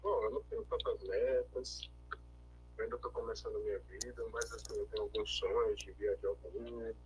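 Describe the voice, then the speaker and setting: A man's voice: lowish voice, a little mellow, a little hiss or hum, poor audio. A young man, a law student, chatting as a guest on a call and cracking self-deprecating jokes. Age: young adult. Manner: joking, self-deprecating, quick comebacks. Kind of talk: casual interview over a call. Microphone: remote call.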